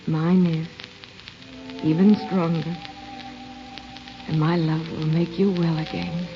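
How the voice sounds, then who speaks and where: An actress playing a passionate, devoted young niece in love, earnest and pleading, in an old radio drama. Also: female voice, low voice, level tone, slow, faint music behind. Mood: tender, pleading. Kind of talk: 1940s radio drama acting. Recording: muffled, crackly old radio broadcast.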